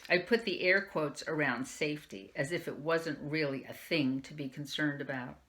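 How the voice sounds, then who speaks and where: A woman's voice: low voice, moderate pace, fairly bright tone. A woman librarian reading a young adult novel aloud in the first person, lifting her voice for the quoted lines. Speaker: youth services librarian.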